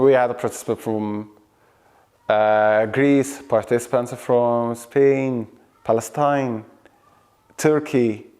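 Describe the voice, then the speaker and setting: A man, an Arabic-speaking actor and drama facilitator answering an interview question in a relaxed, friendly way, often repeating words. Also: mid-range voice. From Egypt. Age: 30s.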